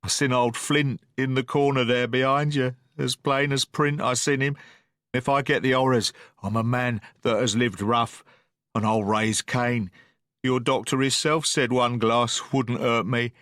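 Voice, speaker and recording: A man voicing an old drunken sea captain, feeble but blustering, who wheedles and talks in rough sailor's slang. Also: medium pitch, steady tone, measured pace, fairly bright tone, dry recording, studio quality, close to an everyday voice. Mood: pleading.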